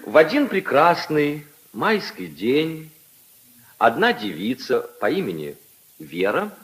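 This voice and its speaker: Slow, hugely animated aristocratic raconteur. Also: male voice, medium pitch, low-quality recording. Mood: teasing, mock-solemn. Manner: mock-solemn, theatrical, teasing. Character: witty aristocratic husband, theatrical mock-solemn party storyteller. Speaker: actor. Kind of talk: 1950s radio drama acting.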